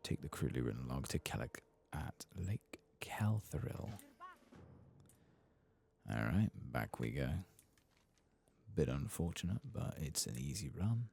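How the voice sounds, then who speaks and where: A man softly commenting on his gameplay in half-whispered, mumbling asides, his voice lower than usual after singing, close to the microphone. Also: sing-song, measured pace, pauses often, slight background noise. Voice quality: very deep, gravelly and husky. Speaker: ASMR gaming channel host. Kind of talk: soft-spoken gameplay commentary.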